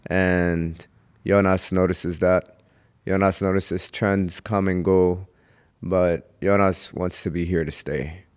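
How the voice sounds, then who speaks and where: A young man with a low, deep voice tells his story in a relaxed podcast chat, pausing now and then to think. His tone is smooth and resonant, and he speaks in a reflective, unhurried way. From Houston, Texas. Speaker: guest comedian. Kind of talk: casual podcast interview.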